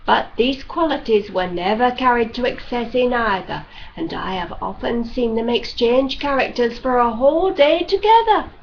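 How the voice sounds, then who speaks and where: A woman reading a classic novel as scripted narration, with measured, deliberate phrasing, like an audiobook reader. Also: fairly high pitch, gravelly. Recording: dull lo-fi audio with background noise.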